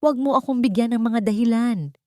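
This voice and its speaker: A woman with a Filipino accent voicing a haughty, demanding rich young woman in an audio drama, sweet but sharp and imperious. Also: on the low side, expressive, moderate pace, no echo, studio quality, close to an everyday voice. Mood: cold scolding. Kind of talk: audio drama character reading.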